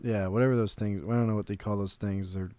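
Podcast co-host guy, kinda low voice, dry close sound, halting. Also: fairly even intonation, dark tone, dry recording. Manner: halting, trailing off mid-sentence. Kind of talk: casual podcast chat.

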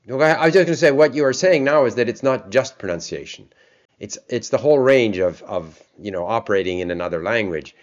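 A man hosting an interview, asking thoughtful questions and reflecting on what his guest says in a slightly halting way. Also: mid-range voice, animated, muffled recording. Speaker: interview host.

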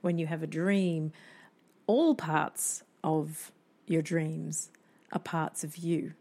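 Woman, slightly low pitch, casual podcast chat, expressive, affirming. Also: takes it slowly. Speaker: podcast co-host.

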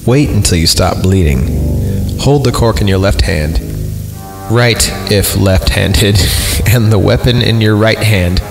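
A man with a fairly low voice hosts a horror reading over clearly audible music. His delivery is informal and amused, moving between narration and offhand commentary. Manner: informal, self-amused, breaks off to comment and chuckle. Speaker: podcast host reading horror stories. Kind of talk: story reading with casual joking asides. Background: music under the voice.

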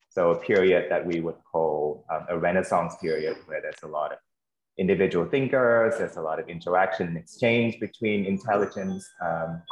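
Deep-voiced Vietnamese-accented man, echoey room. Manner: thoughtful, measured, with frequent fillers and restarts. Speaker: translator, panelist. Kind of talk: answering questions on a panel.

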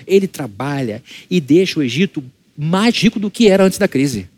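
A Brazilian male pastor preaches in a lively, conversational way, often turning to the congregation with rhetorical questions. His voice sits slightly high for a man. The sound is close and dry, with no room echo. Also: moderate pace, husky. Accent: Brazilian Portuguese. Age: adult, married. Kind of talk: church sermon.

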